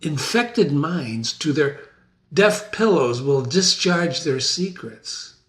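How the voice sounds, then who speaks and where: A man playing a grave, pious and fearful physician, solemn and deliberate, in a dramatic reading of a stage tragedy. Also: fairly high pitch, lively intonation, fairly bright tone. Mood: grave, troubled.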